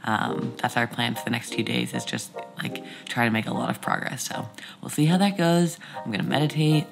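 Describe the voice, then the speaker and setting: Casual vlogger, mid pitch, lots of vocal fry, music underneath. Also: fairly even intonation, brisk. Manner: loose and chatty, thinks aloud about the day. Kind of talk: casual vlog talk.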